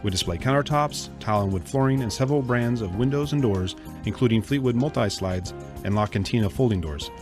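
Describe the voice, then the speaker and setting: A man reading a scripted radio ad with smooth promotional delivery. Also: mid-range voice, brisk, hardly any pauses. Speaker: ad announcer. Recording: radio ad with music bed. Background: music.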